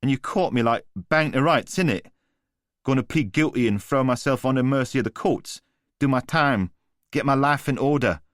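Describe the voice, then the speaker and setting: Guy putting on a cocky young thug in an audiobook, higher voice, swaggering slang, dry sound. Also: normal speed, a bit bright, no room sound, natural voice. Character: sneering young male criminal, cocky slang.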